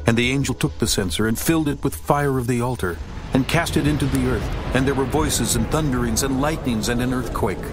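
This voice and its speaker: A man narrating a dramatized scripture reading in a steady, grave voice. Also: mid-range voice, brisk. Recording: narration over music.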